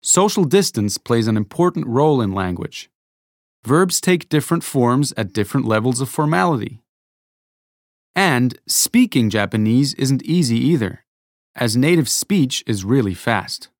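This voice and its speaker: A man reading a scripted educational narration, explaining clearly and adding rhetorical asides. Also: mid-range voice, lively intonation, a little slow, no echo, clean studio sound.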